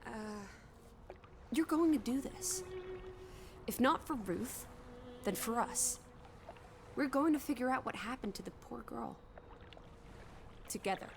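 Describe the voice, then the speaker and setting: A woman's voice: a little high, animated, slow, gravelly, pauses often, noisy background, exaggerated voice. An actress playing a bold, inquisitive young woman companion in a video game drama, theatrical and bossy in manner. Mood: scolding. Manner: bossy, theatrical, teasing and scolding.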